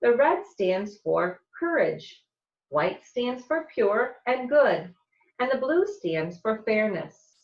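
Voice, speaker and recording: A slightly muffled, roomy recording of an American woman reading to young children. She takes her time and speaks like a teacher, with lively, expressive pitch and careful explanations. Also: slow pace. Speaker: teacher reading aloud to second graders. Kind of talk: read-aloud of a children's picture book.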